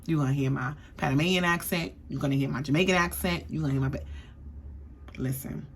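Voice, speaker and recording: A woman's voice: low voice, fast talker, a little hiss or hum. A young woman from the East Coast giving a chatty, sassy perfume review, often checking in with "okay?". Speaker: beauty vlogger.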